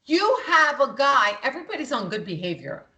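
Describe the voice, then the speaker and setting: This woman talks with a bright, forceful edge and sits a little high in pitch. She co-hosts a talk show and speaks with heat and bluntness, and the swearing comes easily. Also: sing-song, a little fast. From Greek heritage. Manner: impassioned, blunt, swears freely. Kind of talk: heated political talk.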